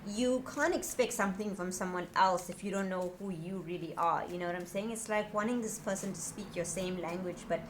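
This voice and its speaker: A woman giving a casual tarot reading to her viewers, chatty and earnest, her voice a little raspy. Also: medium pitch, fairly quick, few pauses, background noise. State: slightly raspy voice. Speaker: tarot reader.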